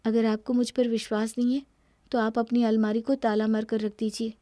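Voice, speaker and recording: A woman plays a young newlywed daughter-in-law in a cartoon story. She is gentle and devoted yet stands up for herself, in a fairly high voice recorded very dry. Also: little pitch movement, fairly quick, no echo, natural voice. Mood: hurt, firm. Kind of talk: Hindi cartoon moral-story voice acting.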